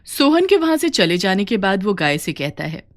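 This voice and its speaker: A woman's voice: medium pitch, very animated, moderate pace. A woman narrating a scripted folk tale for a cartoon, as a storyteller.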